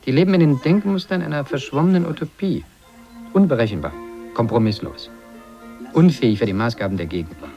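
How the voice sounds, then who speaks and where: An actor playing a calculating, persuasive media executive in a radio drama, measured yet insistent. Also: male voice, mid-range voice, animated, lo-fi sound, soft background music, close to an everyday voice. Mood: measured, analytical. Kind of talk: 1980s German radio drama acting.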